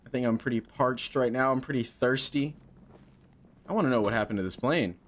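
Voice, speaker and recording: A man's voice: medium pitch, a little fast, a little hiss or hum. A young man in his twenties streaming a horror game on a headset mic, joking nervously and talking to himself. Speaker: gaming streamer. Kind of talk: casual horror game commentary.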